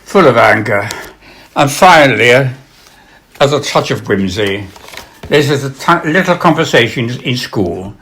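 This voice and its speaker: A man presenting and reading literature aloud, with a measured, dramatic delivery when reciting. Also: mid-range voice, lively intonation, fairly bright tone, a little hiss or hum. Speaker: reader and presenter of poems and prose. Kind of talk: literary recital with spoken introductions.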